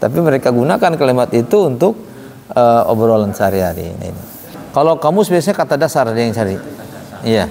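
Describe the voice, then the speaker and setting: A man's voice: medium pitch, big pitch swings, fairly quick, vocal fry, a little mellow. A man teaching an informal religious lesson, explaining conversationally and often checking with rhetorical questions. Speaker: Quran recitation teacher. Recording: reverberant hall with obvious background noise.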